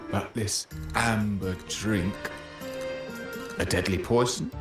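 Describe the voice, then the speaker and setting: Roomy sound, guitar music, low-voiced lovesick drunken poet, theatrical despair, video game. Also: male voice, fairly even intonation. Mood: anguished longing. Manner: theatrical, declaiming, slow.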